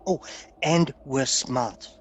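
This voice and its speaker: An actor playing a wry, genial hunter from a magical painting in an unhurried, theatrical audio play style. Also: male voice, medium pitch, a little hiss or hum, close to an everyday voice. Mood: wry, dreamy.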